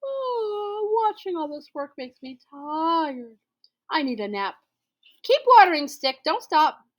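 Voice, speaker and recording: A woman's voice: sits high, very animated, slow pace, pitched above the performer's own voice, heavily acted. A woman voicing a lazy, boastful male spider in a children's folktale, bossy and dramatic, with emphatic commands. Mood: tired, bossy.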